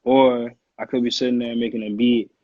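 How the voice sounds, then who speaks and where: A man's voice: mid-range voice, level tone, brisk, no room sound, phone-quality sound. A man in his early twenties with an American accent, chatting casually and in slang as an interview guest. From Connecticut, USA. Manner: slangy, informal. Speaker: music producer, interview guest. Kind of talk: casual chat.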